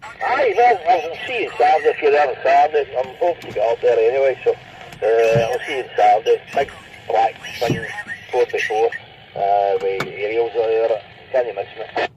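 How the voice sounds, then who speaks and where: A man's voice: medium pitch, moderate pace. Scottish man on noisy, muffled CB radio. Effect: CB radio transmission. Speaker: mobile CB radio operator. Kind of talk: casual radio chat. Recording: dull, noisy CB radio link.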